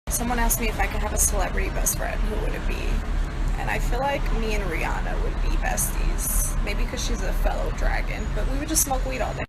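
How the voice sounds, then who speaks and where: Sassy profane woman from New York, casual phone vlog, loud noise behind, mid pitch. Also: brisk. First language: Serbo-Croatian. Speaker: social media personality.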